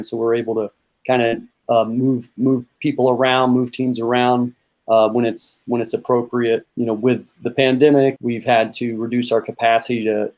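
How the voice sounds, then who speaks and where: A young man from North Carolina, a strength and conditioning coach answering interview questions in a relaxed way, with plenty of fillers and repeats. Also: mid-range voice.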